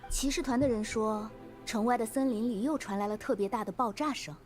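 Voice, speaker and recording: A woman playing a composed, caring knight commander in an anime video game, speaking in a measured, authoritative but kind way. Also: a little high, music in the background, natural voice. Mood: composed, questioning.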